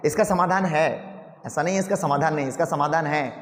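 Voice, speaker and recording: Male teacher, high voice, rhetorical questions to students, roomy classroom echo. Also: a little fast. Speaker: English teacher. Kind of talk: classroom lecture.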